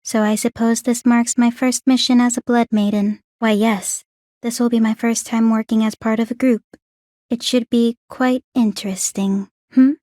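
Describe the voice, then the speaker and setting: A woman's voice: fairly high pitch, fairly even intonation, moderate pace, warm tone, no room sound, clean studio sound, lightly acted. A woman playing an aloof, bookish young warrior maiden in a video game, speaking in a composed, formal, precise and detached way. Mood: cool, curious. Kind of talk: English video game voice acting.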